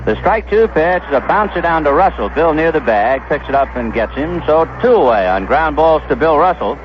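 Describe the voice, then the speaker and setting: An American man calling baseball play-by-play on the radio, conversational and descriptive, filling in stories between pitches. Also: lively intonation, normal speed. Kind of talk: play-by-play commentary. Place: ballpark broadcast booth. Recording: dull, noisy vintage 1970s radio broadcast.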